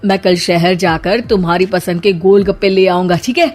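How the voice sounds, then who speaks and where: A woman's voice: lowish voice, moderate pace, a little mellow, pitched below the performer's own voice, natural voice. Woman voicing a mild, placating young husband. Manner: conciliatory. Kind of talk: animated Hindi moral story voice acting.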